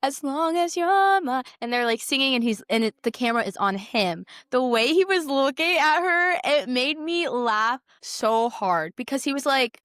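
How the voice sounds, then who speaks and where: A young woman co-hosting a podcast in casual chat, excitable and gushing, with dramatic emphasis. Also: sits high, normal speed, few pauses, no room sound, studio quality. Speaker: podcast co-host.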